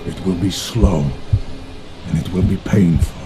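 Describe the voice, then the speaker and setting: An actor playing a calm, cruel, sermonizing villain with a deliberate, menacing delivery in a video game cutscene. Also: male voice, low voice, music in the background, rough recording. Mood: cold menace.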